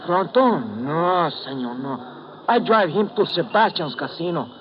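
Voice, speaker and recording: A simple, eager Cuban cabbie with a fairly high voice and a Spanish accent. His English is broken and excitable, in old radio drama style. The recording is dull and faintly noisy. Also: unhurried. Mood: hesitant, obliging. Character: eager, simple Cuban male cab driver. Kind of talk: 1950s radio drama acting.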